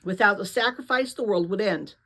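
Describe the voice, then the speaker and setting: Storybook role: composed, serious teen athlete boy, blunt and unruffled, read by a woman. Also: medium pitch. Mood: deadly serious. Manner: slow, even, unruffled delivery. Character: calm, serious, blunt athletic teenage boy, exasperating coach.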